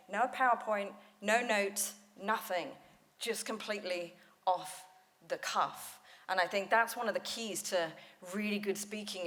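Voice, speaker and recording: A woman speaking coach leading a conference panel, energetic and storytelling, laughing often. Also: mid-range voice. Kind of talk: lively panel talk. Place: conference hall stage.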